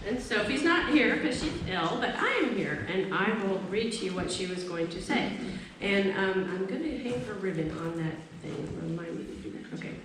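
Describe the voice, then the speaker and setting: A woman's voice: medium pitch, animated, moderate pace. A woman presenting at a live event, introducing pieces and reading aloud. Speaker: event presenter, stand-in reader. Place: indoor event space, recorded from a distance. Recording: very echoey room, distant mic, faint noise.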